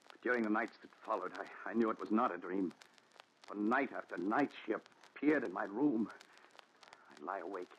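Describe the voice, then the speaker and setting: An actor playing a haunted, fearful man in a 1940s radio thriller, with an intense, halting, dramatic delivery. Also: on the low side, breathy edge. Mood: haunted, desperate. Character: haunted, fearful man confessing terrors to his doctor. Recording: muffled, noisy 1940s radio recording.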